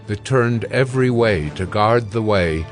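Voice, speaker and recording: Man reading scripture slow and steady, low voice, soft music behind. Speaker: narrator.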